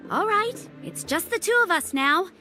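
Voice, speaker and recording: High, fretful scolding younger sister. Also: female voice, expressive, moderate pace, bright, forceful tone, faint music behind, full character voice. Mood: scolding, exasperated. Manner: exasperated, emphatic, reproachful. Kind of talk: video game voice acting.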